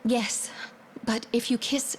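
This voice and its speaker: Slow, pleading female attendant. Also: a little high, little pitch movement, a little hiss or hum. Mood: anxious pleading. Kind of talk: dramatic fantasy game acting.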